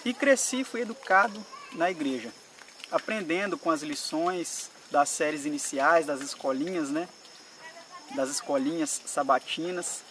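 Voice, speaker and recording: A young man recounts his religious journey in an earnest, unhurried way, pausing and restarting often. His voice sits high and sounds bright. He is recorded outdoors, somewhat distant from the mic, with faint rural noise behind him. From Brazil, born in Minas Gerais, raised in Espírito Santo. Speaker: church member giving a testimony. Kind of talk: personal religious testimony to camera.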